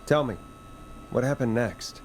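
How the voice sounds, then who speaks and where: An actor playing an earnest late-night radio DJ in a video game, questioning callers in a steady, probing manner. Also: male voice, medium pitch, measured pace, slight background noise, natural voice. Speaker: radio host.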